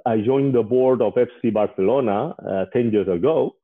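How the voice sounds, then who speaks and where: A man in his forties from Spain, an English speaker who pauses often with "uh" as an interview guest. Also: mid-range voice. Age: 40s. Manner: hesitant, frequent "uh" fillers. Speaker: guest, investor. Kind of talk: casual interview. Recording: tinny phone-like line.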